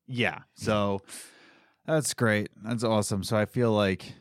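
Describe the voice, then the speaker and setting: A man's voice: fairly low pitch, fairly even intonation, slow pace, no room sound, clean studio sound. A man co-hosting a casual podcast, congested from a cold, joking and sarcastic. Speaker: podcast co-host. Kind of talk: casual chat. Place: North Dakota.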